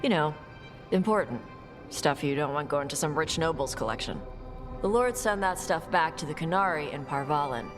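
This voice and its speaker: A woman voicing a blunt young dragon hunter who talks in short, gruff, dismissive lines in a game cutscene. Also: low voice, lively intonation, moderate pace, over music. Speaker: treasure hunter. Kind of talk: video game cutscene dialogue.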